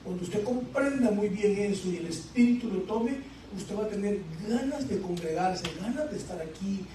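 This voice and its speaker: A man preaching, asking the congregation questions and filling pauses with "este". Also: high-pitched, dark tone, slight background noise. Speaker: pastor. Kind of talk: sermon. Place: church sanctuary. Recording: echoey church from far off.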